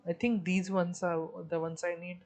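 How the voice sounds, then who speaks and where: A woman's voice: lowish voice, flat delivery, moderate pace, a little mellow. A woman streaming a video game, casually thinking aloud and talking to her chat. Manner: hesitant restarts while counting. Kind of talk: casual live-stream commentary.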